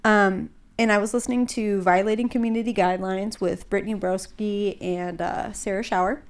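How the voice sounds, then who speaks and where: A woman's voice: medium pitch, fairly even intonation, normal speed. A young adult woman hosting a podcast in casual chat, a little congested, rambling with many fillers. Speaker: podcast host.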